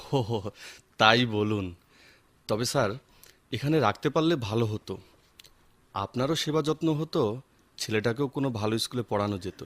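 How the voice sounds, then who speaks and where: A man's voice: mid-range voice, moderate pace, long pauses, a bit bright, close to an everyday voice. A man acting a deferential, helpful office subordinate in a Bengali radio drama, with faint noise behind him. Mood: deferential, coaxing.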